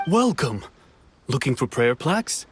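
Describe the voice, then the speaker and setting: A man's voice: medium pitch, big pitch swings, measured pace, faint music behind. A man voicing a courteous festival stall vendor in an anime-style video game, explaining things politely. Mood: courteous, welcoming.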